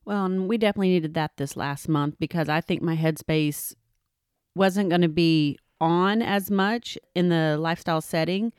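Low-voiced woman co-hosting a podcast. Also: moderate pace, a little mellow, clean tone, no echo, studio quality. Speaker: podcast co-host. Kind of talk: casual chat.

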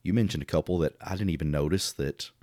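A man's voice: rumbling low, fast talker, warm tone, no echo, studio quality. A middle-aged man with a Texan accent, co-hosting a casual chat and telling stories with easy laughs. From Texas. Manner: chatty storyteller, laughs easily.

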